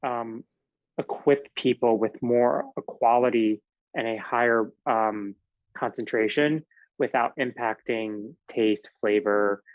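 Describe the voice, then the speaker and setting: A man's voice: mid-range voice, takes it slowly, no echo, phone-quality sound. A man whose first language is Italian, a physician guest in a casual podcast interview, explaining earnestly in long runs with fillers.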